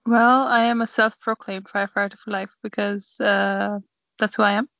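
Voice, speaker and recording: A woman's voice: medium pitch, little pitch movement, normal speed, creaky, a bit bright, dry recording. A young woman chatting casually on her sister's podcast, rambling and a little self-conscious. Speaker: podcast guest. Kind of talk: casual sibling podcast chat. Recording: dry, dull lo-fi audio.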